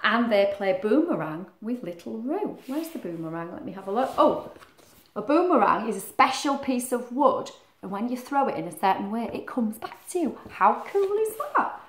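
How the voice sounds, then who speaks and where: A middle-aged woman reads a children's picture book in a fairly high, highly animated voice. She takes her time and breaks off for chatty questions to her young listeners. The room adds a clear echo. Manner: expressive, slow and deliberate in reading, questioning the children. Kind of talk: animated children's story read-aloud with chatty asides. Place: small living room, indoors. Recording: roomy echo of a living room.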